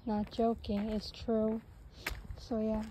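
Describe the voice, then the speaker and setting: A woman's voice: fairly high pitch, monotone, slow pace, noisy background. A young woman chatting casually in a vlog as she walks outdoors, rambling and restarting often. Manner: hesitant, rambling, with many restarts. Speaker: vlogger. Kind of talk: casual walking vlog chat. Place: outdoors on a sunny paved path. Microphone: phone held while walking.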